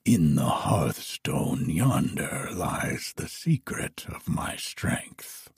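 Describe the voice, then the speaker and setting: Storybook dragon, male, sly and boastful, low and breathy, as a man puts him on; slow, unhurried. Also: sing-song, no room sound, studio quality, exaggerated voice. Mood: mocking.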